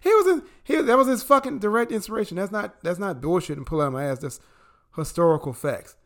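A man's voice: lively intonation, fairly quick. Profane, emphatic man hosting a podcast. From American Midwest. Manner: profane, emphatic, swings between loud outbursts and low asides. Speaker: podcast co-host. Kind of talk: casual political chat.

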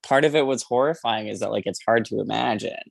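A man's voice: on the low side, fast, creaky, dry recording. A man co-hosting a podcast, chatting casually about a book in a rambling, hesitant way full of filler words. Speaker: podcast co-host. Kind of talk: casual book discussion chat.

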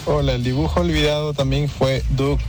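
A man's voice: fairly high pitch, level tone, normal speed, music in the background. A man leaving a casual greeting message for a radio show.

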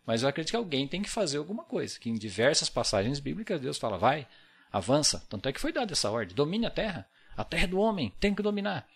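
A Brazilian man with a Brazilian accent, talking as a podcast guest in an earnest, reflective way. His voice moves a lot in pitch, rising and falling with each point. He often checks in with short tag questions as he goes. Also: big pitch swings, fast talker, vocal fry, husky. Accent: Brazilian Portuguese. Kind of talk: casual podcast conversation.

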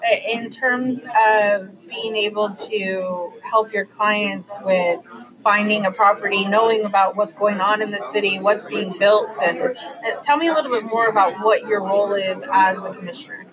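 A woman's voice: mid-range voice, steady tone, moderate pace, poor audio. A woman hosting a casual interview, chatting and prompting in an informal way. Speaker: interviewer, host. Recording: busy background chatter.

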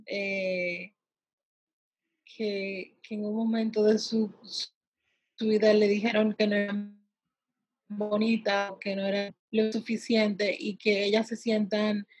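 A woman from the United States giving conversational interview answers, pausing often as she searches for words. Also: medium pitch, little pitch movement, breathy edge, no echo, low-quality recording. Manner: halting, searching for words with drawn-out pauses. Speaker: interviewee, salon worker and empowerment advocate for girls.

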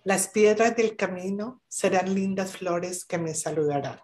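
An earnest woman speaking as a podcast guest over a video call. Her voice sits at a middling pitch and she presses her points with emphasis, pausing often on fillers. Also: darkish tone. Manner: earnest, emphatic, many hesitations. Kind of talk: motivational talk on a podcast panel.

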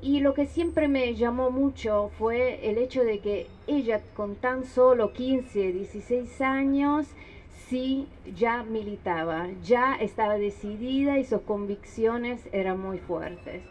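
Woman with an Italian-tinged accent, slightly high voice, slow and hesitant speech, faint noise behind. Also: darkish tone, low-quality recording. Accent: Italian-tinged Argentine Spanish. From Argentina, raised in Italy. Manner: hesitant, with frequent fillers. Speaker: relative of a victim giving a speech. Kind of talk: public speech.

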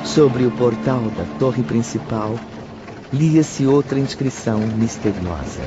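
A solemn male storyteller , played by an actor, narrates a radio drama in measured phrases. His voice is mid-pitched. Music plays loudly beneath him and the recording is dull. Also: lightly acted. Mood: grave.

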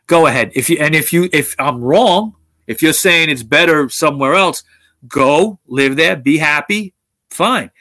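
A man's voice: a little high, animated, unhurried, sharp tone, no echo. A man hosting a talk show, speaking bluntly with frequent swearing and restarts. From United States. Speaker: podcast host. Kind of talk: opinionated casual talk.

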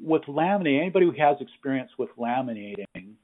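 A husky-voiced man chats as an interviewer through tinny, phone-like audio. His delivery is informal and effusive, coming in halting phrases, at a middling pitch. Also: expressive. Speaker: interviewer, hands-on tradesman. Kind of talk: casual interview chat. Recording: husky-sounding, thin phone-line audio.

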